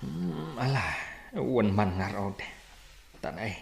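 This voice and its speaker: Elderly Ilocano farmer father: low, gravelly, hesitant and tender, radio drama with roomy echo. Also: animated, slow, full character voice. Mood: gently worried.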